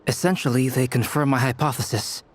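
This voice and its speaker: A man's voice: medium pitch, fairly even intonation, a little fast, natural voice. A man playing a composed, scholarly young alchemist in a video game, formal, polite and analytical. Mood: calm, analytical. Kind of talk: video game character acting. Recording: music behind the voice.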